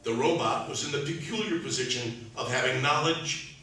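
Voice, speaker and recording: A man's voice: mid-range voice, moderate pace, sharp tone, slight background noise, close to an everyday voice. A man narrating a scripted radio drama. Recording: echoey, distant, music behind.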